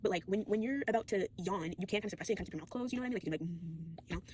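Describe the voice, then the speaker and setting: A woman's voice: mid-range voice, racing, darkish tone, slight background noise. A tired, sore teenage girl rambling casually to a phone, full of fillers, restarts and swearing. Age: late teens. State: fatigued and sore. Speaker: vlogger.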